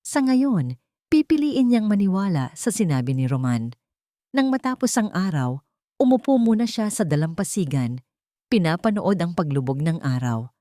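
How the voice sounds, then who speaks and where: Low-voiced woman narrating dramatic fiction. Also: big pitch swings, normal speed, dark tone, dry recording, studio quality. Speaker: storyteller. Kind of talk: scripted dramatic narration of a love story.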